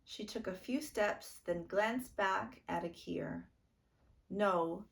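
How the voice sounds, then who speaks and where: A woman reading a children's book aloud as a storytime narrator, expressive and deliberate. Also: medium pitch, gravelly. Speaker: storytime reader.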